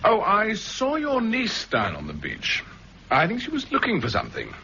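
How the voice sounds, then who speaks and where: A polite, dryly witty visitor with a bright, very animated voice, pitched a little high. Muffled old radio sound with faint hiss. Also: male voice, moderate pace, exaggerated voice. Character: polite, cool-headed male visitor. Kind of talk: 1960s radio drama acting.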